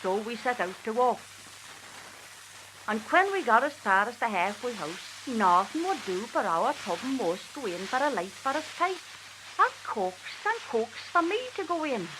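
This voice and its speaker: A woman's voice: on the high side, moderate pace. A woman reciting a dialect tale as a talkative, exasperated Manx country wife confiding in a neighbour, on a hissy 1940s archive recording. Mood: confiding, indignant. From Isle of Man. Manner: animated, confiding, repeatedly addressing her listener.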